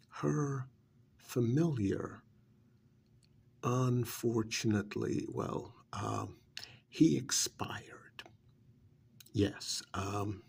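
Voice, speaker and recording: A man playing a tactful, bureaucratic vampire clan familiar in an ASMR role-play, speaking softly and hesitantly with measured pauses and a breathy, gravelly voice. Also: on the low side, heavily acted. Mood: tactful, solemn. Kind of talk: ASMR role-play, whispery soft speech.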